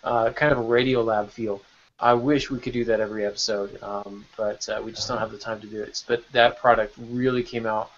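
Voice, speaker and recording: A man's voice: lowish voice, steady tone, a little fast, dull audio. A man hosting a podcast in a casual, enthusiastic and encouraging way. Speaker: podcast host.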